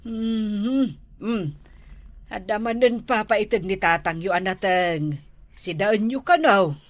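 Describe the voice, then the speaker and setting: Actress as a slow-talking, grumbling Ilocano mother. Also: mid-range voice, no echo, lightly acted. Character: complaining mother of a grown daughter. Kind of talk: Ilocano radio drama acting.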